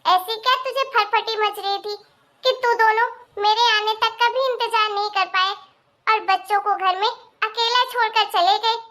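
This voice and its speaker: A woman voicing a domineering, sarcastic mother-in-law who scolds and taunts in an animated family melodrama. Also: very high-pitched, moderate pace. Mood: scolding. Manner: accusing, taunting, sharp-tongued.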